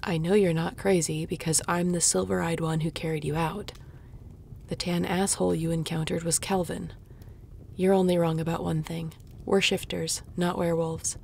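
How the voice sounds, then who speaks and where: An actress plays a tough, grumpy shifter woman in an audio roleplay. Her voice sits fairly low and crackles with vocal fry. She is curt, weary and sarcastic but grudgingly caring, with a little background ambience behind her. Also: little pitch movement, moderate pace, darkish tone. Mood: dry, matter-of-fact. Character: grumpy, tough female shapeshifter guard, begrudgingly caring. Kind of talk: intimate audio roleplay acting.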